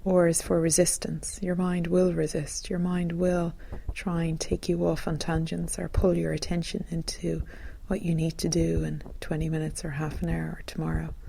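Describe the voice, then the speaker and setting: Low, creaky, soft-voiced woman guiding meditation. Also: a little hiss or hum. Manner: gentle, calm, soothing, unhurried phrasing. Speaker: meditation teacher. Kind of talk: guided meditation narration.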